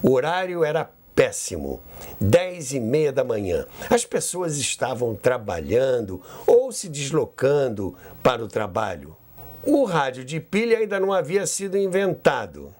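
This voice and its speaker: A man's voice: fairly high pitch, a little mellow. A Brazilian man hosting a history podcast in a conversational storytelling way, with rhetorical questions and asides. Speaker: podcast host.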